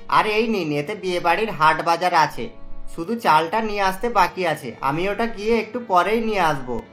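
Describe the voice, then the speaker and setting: A woman's voice: lowish voice, lively intonation, normal speed, fairly bright tone, faint music behind. A woman voicing a helpful young man in a cartoon, obliging and given to playful grumbling. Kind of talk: cartoon voice acting.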